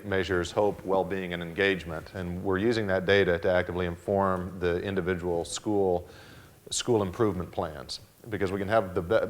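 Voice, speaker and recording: A man who is a school district administrator, answering a question at a town hall panel in an earnest way, with many restarts and repeated words. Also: deep voice.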